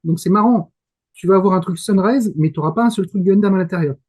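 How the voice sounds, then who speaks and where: Male panelist, relaxed dark tone, slightly high pitch, hesitant fan delivery, informal anime talk. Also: fast. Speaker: correspondent in Japan.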